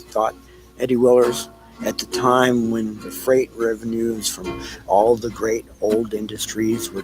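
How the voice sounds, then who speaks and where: A man narrating an audio play from a script, with a halting delivery that repeats phrases. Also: fairly low pitch, low-quality recording.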